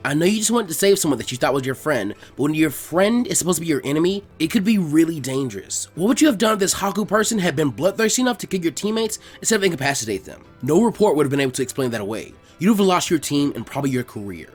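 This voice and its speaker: A man voicing a supportive, gently teasing young woman who gives encouraging advice in a story reading. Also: on the high side, very animated, fast talker, hardly any pauses, slightly rough, soft background music. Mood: scolding, concerned.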